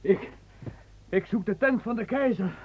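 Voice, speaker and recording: An actor playing an exhausted, panting messenger bringing urgent news in an old radio play. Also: male voice, high-pitched, unhurried, lo-fi sound, slight background noise. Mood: breathless. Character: breathless male messenger. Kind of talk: radio drama acting.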